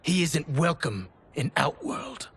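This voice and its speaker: A man's voice: a little high, very animated, slow pace, fairly bright tone, husky, exaggerated voice. An actor playing a threatening, taunting male soldier villain in a dramatic video game. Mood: menacing. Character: menacing, taunting male soldier loyal to a usurper.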